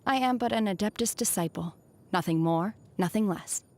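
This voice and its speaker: A woman playing a reserved, solemn young exorcist disciple in a video game, speaking in a measured, formal way. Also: medium pitch, natural voice. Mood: composed, solemn. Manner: measured, formal, restrained delivery. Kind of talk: scripted video game character voice lines. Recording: music behind the voice. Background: music.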